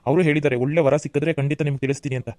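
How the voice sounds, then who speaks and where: A woman's voice: low-pitched, steady tone, rapid-fire, darkish tone, no room sound, studio quality, natural voice. A woman voicing a tired middle-aged husband back from the heat. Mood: calm. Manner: weary, sighing.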